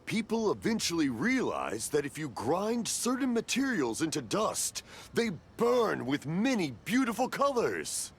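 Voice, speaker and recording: An actor playing a cheerful elderly fireworks maker who is hard of hearing and keeps mishearing and rambling. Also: male voice, high voice, huge pitch swings, a little slow, few pauses, noisy background, full character voice. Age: older. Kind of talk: video game voice acting. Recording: game cutscene with music behind.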